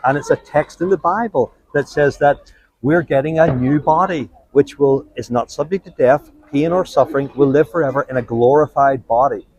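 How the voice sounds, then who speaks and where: A man's voice: fairly high pitch, moderate pace, darkish tone, slight background noise, poor audio. A man preaching on the street, earnest and persuasive, quoting scripture and joking with the people he stops. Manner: earnest, persuasive, quotes scripture, jokes with passers-by. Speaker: street evangelist. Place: outdoors on a street.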